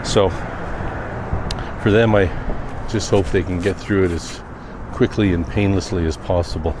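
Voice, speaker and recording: A man talking off the cuff in a personal vlog, reflective and rambling with thoughtful pauses. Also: low voice. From Canada. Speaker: daily vlogger. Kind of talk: unscripted personal vlog monologue. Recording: noisy outdoor recording with vehicle sounds.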